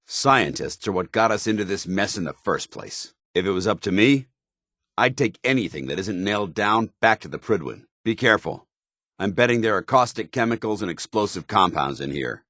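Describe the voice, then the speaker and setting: An actor playing a stern, zealous soldier companion in a video game, with an American accent and a formal, earnest military delivery. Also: male voice, low-pitched, fairly bright tone, no room sound, studio quality.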